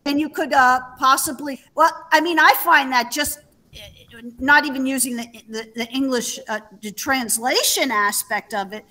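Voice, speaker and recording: Woman, webinar host, raised pitch, crisp bright tone, conversational delivery. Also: normal speed.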